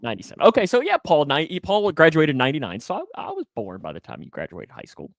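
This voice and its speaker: A man's voice: a little high, huge pitch swings, quick pace, vocal fry, dry recording, clean studio sound. A man in his twenties hosting a casual sports talk show, speaking emphatically and repeating phrases to drive them home. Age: 20s. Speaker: sports show host and broadcaster.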